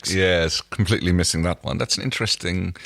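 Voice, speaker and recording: A man's voice: low-pitched, a little fast, creaky, fairly bright tone. A man co-hosting a podcast, musing casually and somewhat hesitantly as a fan. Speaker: podcast co-host.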